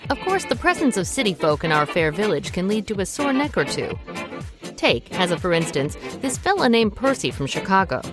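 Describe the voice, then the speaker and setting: A woman's voice: medium pitch, very animated, a little fast, natural voice. A woman playing a folksy female rum runner, narrating a yarn for a radio drama. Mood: wry. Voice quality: wry, conversational storyteller. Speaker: narrator in character. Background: music.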